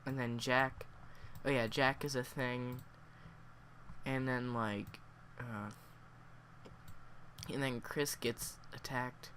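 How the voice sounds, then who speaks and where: A man with a cold, sniffling and hoarse, reviewing a story in a casual, rambling way full of fillers. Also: medium pitch, flat delivery, slow pace, pauses often, a bit bright, slight background noise. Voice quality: hoarse, worn voice.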